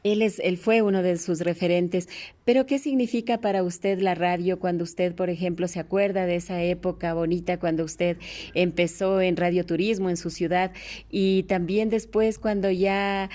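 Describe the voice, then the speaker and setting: A woman's voice: fairly low pitch, steady tone, normal speed, warm tone. A woman interviewing a guest, asking questions in a conversational way.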